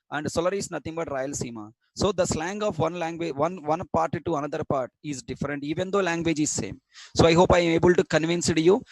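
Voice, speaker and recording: A man's voice: fairly high pitch, no echo, low-quality recording. A stern man from Coastal Andhra with a Telugu-accented Indian English, lecturing an online programming class, repeating points and quizzing students. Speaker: programming instructor.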